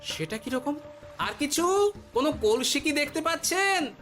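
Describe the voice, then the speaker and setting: A clever cartoon jester speaks in a very high voice, guiding and cautioning with authority. His delivery is deliberate and instructive, with music playing behind him. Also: expressive, heavily acted. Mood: commanding, cautioning. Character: clever, commanding male village jester guiding a treasure hunt. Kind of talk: Bengali cartoon voice acting. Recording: loud background music.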